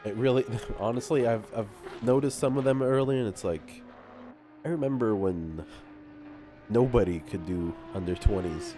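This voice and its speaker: A man's voice: on the low side, normal speed, warm tone, soft background music. Giggly nasal man with a cold. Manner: giggly, laughs often, playful. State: has a cold. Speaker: sim racing commentator. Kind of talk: live race commentary.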